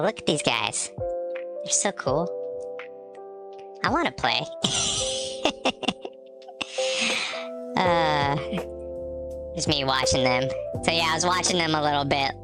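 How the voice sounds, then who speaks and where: A woman streaming a game who chats casually, laughs often and greets viewers by name, her voice stuffy from allergies. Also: deep voice. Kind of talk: casual live-stream chat.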